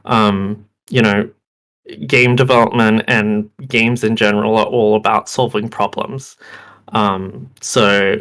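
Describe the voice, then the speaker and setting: A young man who is slightly hoarse from being sick, giving a game development talk over a video call with many ums and restarts. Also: fairly low pitch, fairly bright tone. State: hoarse, losing his voice. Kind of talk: presentation talk.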